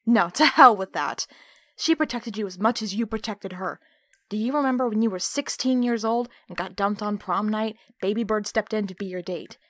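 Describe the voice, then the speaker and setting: A woman's voice: mid-range voice, normal speed, no echo, lightly acted. Woman playing a bitter, defiant captive, dry recording. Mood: angry, accusing. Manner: emotional, accusing, sharp-tongued. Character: defiant, betrayed female captive, bitter and hurt. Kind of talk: audio roleplay acting.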